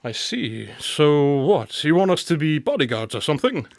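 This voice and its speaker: An actor playing a confident, inquisitive detective in a radio drama, probing with clipped questions and slight amusement. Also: male voice, fairly high pitch, very animated, normal speed, gravelly, no echo. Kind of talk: modern radio drama acting.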